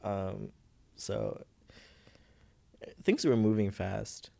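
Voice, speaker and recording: Young guy, visayan, talks slow and halting with a gravelly edge, searching for words. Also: on the low side, huge pitch swings. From Philippines. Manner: soft-spoken, halting, searching for words, laughs nervously. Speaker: podcast guest. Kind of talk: personal story in casual interview.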